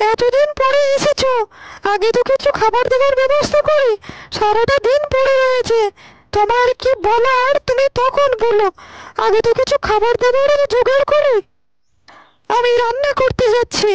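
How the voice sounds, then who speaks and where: A woman voicing a warm, fussing young village woman in a cartoon, repeating herself eagerly. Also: extremely high pitch, steady tone, normal speed, dull audio. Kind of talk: Bengali cartoon character dialogue.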